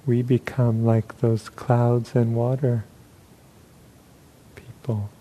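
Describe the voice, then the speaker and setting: A man leading a guided meditation as a teacher, speaking in short, unhurried phrases with long pauses between them. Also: medium pitch, level tone, warm tone, muffled recording.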